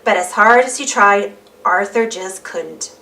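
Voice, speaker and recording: A woman reading a children's storybook aloud in an animated narrating style. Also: mid-range voice, unhurried, far from the mic. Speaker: storybook reader.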